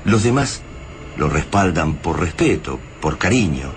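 A man's voice: low-pitched, big pitch swings, a little slow, heavily acted. An actor with an Argentine accent playing a solemn, literary male narrator in a sci-fi radio drama. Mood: solemn, suspenseful. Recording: clearly noisy ambient sound bed. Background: noisy ambience.

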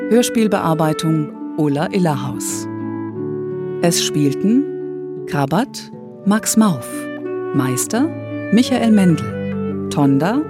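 A woman announcer with a slightly low voice reads credits slowly and formally. Loud plucked guitar music plays behind her. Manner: measured, formal.

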